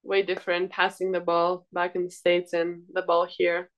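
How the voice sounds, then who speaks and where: A woman's voice: on the low side, completely flat delivery, normal speed, poor audio. A young woman from Greece, a volleyball player answering interview questions in a casual, hesitant, informal way. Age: young adult.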